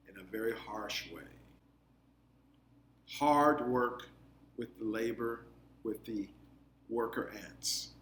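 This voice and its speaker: Male storyteller for children's read-aloud: medium pitch, unhurried explanatory delivery, echoey room. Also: a bit bright. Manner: deliberate, explanatory, drawn-out phrasing. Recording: echoey room, faint background noise.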